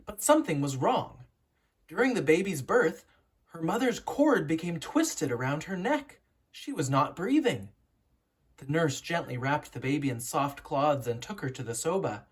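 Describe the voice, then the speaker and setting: A woman narrates a children's storybook in a noticeably husky, unpolished voice. Her pitch moves around in a lively way. She tells it like a teacher, with dramatic, deliberate pauses. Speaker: teacher reading a picture book aloud.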